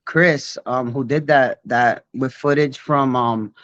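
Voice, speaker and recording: A man's voice: mid-range voice, fairly even intonation, slow pace, a bit bright, no room sound, muffled recording. A man in his forties, born in Puerto Rico, telling stories in a loose, rambling way full of fillers during a casual interview. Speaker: guest b-boy.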